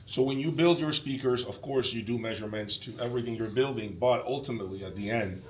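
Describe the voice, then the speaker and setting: A man's voice: medium pitch, fast, slight background noise. A man hosting a casual video interview, talking to his viewers in a conversational, opinionated way. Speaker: host interviewer of an audio channel.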